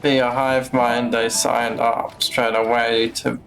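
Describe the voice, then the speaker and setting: Slow, blunt, sweary game streamer. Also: medium pitch, level tone, vocal fry, fairly bright tone. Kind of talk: live game stream commentary, casual. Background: game music.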